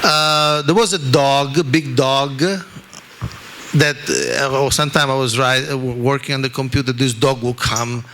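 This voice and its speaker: A man from Italy with an Italian accent, giving a scripture class in an animated, self-deprecating way, often checking in with "okay?". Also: medium pitch, normal speed, soft background music. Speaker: spiritual teacher.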